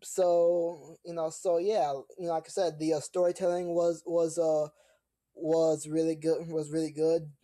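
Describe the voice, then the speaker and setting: A man hosting a reaction video with casual, halting commentary, often repeating words and phrases. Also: a little high, little pitch movement, mellow.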